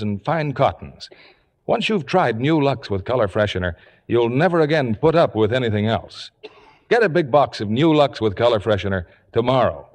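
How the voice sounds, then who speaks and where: Animated, echoey male radio announcer. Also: mid-range voice. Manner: polished, emphatic sales delivery. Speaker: radio show host and announcer. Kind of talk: 1950s radio announcing, scripted ad. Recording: echoey old radio studio stage.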